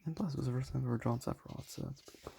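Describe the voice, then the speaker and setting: A young man with a raspy voice, talking casually and modestly while showing his sketches. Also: medium pitch, very fast, background noise. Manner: soft-spoken, self-deprecating, rambling.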